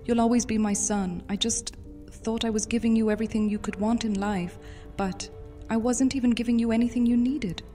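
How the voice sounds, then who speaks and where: A woman's voice: fairly high pitch, steady tone, brisk, dark tone, natural voice. A woman playing a motherly vampire commander, fond and teasing, in an audio drama. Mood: wistful, fond. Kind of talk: immersive audio drama roleplay. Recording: music behind the voice.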